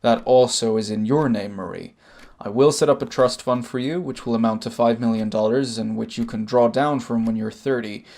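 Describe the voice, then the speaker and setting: An Irish man acting a composed, sardonic middle-aged emigrant in a stage drama, speaking in measured, formal phrases. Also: mid-range voice, fairly even intonation, a little mellow, lightly acted. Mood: firm, sardonic.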